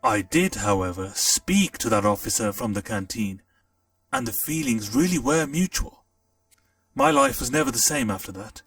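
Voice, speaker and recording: A man reading a first-person audiobook story with a lively, swooping delivery and a gravelly edge to his voice. He sounds set back from the mic, with faint music playing behind. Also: mid-range voice, moderate pace, distant mic. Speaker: audiobook narrator.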